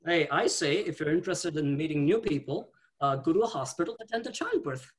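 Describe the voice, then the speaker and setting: A man from India doing a stand-up set on a video call, talking haltingly with repeated words and dry punchlines. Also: on the high side, animated, slight background noise. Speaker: stand-up comedian.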